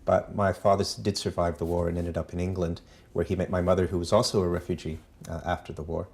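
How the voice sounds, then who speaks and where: A middle-aged man from Canada, a foundation president, recounting his family's history in a measured way with many ums and uhs. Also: deep voice, little pitch movement, vocal fry, darkish tone.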